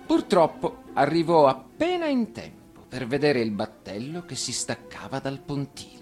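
A man's voice: medium pitch, sing-song, normal speed, darkish tone. A man reading a children's tale expressively as an actor, with music behind him. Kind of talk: expressive storybook narration. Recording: radio reading over music.

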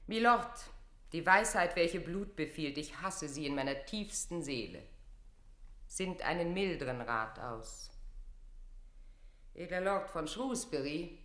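An actress playing a proud, commanding queen in a classical radio play, with regal, measured, declamatory delivery. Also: low-pitched, lively intonation, a bit bright, echoey room, heavily acted. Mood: stern indignant.

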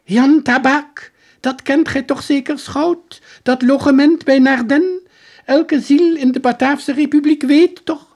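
A man voicing a bewildered, frantic soldier from an earlier century, with a hoarse, strained voice. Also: very high voice, fairly even intonation, slow pace, a little mellow, pitched above the performer's own voice, exaggerated voice.

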